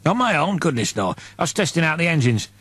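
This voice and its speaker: A man's voice: fairly high pitch, very animated, fast, a bit bright, husky, no room sound, pitched above the performer's own voice, exaggerated voice. A man voicing a smug priest in an audiobook, speaking with mild, genteel innocence. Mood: innocent.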